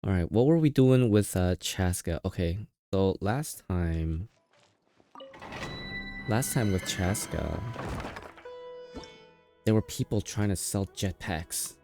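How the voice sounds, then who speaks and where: Low-voiced male game streamer, tired and rambling. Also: slow pace, long pauses, dark tone. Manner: rambling, playful teasing. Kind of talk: casual livestream chat. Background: game music.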